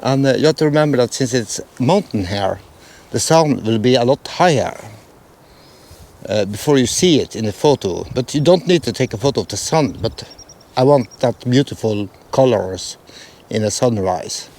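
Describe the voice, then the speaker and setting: A man's voice: medium pitch, expressive, moderate pace, darkish tone. A man from Norway speaking English with a Norwegian accent, explaining photo planning in a casual vlog, hesitant with many fillers and restarts. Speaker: photography vlogger.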